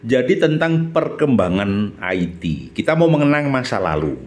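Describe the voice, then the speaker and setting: A middle-aged man speaks in a Central Javanese accent with a very animated, swooping pitch. He talks like a chatty host reminiscing aloud. He often checks in with his listener through short questions. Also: a little hiss or hum. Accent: Javanese Indonesian, Central Java. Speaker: channel host, former radio announcer. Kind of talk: reminiscing monologue with a companion chiming in.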